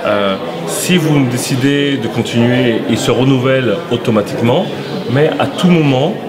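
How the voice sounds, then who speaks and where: Hesitant man over loud crowd noise. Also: mid-range voice. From France. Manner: halting, many "euh" pauses and restarts. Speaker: interview guest, company CEO. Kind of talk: hesitant conversational interview answers.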